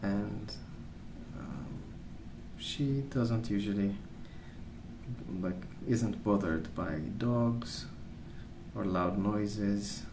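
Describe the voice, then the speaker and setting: A man rambling softly in an ASMR style, hushed, hesitant and unhurried. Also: lowish voice. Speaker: ASMR video host. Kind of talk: soft-spoken ASMR rambling. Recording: roomy, distant mic with clear noise.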